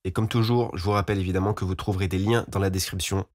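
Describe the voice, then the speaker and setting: Fast, low-voiced man teaching. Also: fairly even intonation, no echo, studio quality. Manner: informal, explanatory. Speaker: music production tutorial host. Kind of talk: software tutorial voice-over.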